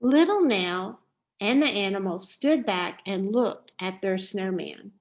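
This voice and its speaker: A woman reading a children's story aloud in a deliberate, expressive way. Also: medium pitch, highs cut off. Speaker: storybook reader.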